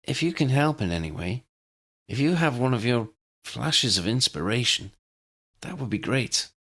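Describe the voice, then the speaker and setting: A man's voice: mid-range voice, lively intonation, normal speed, fairly bright tone, voice pushed up. A man voicing a determined young female law-enforcement agent, earnest and deferential to her superiors, in an audiobook story. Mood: urgent, determined.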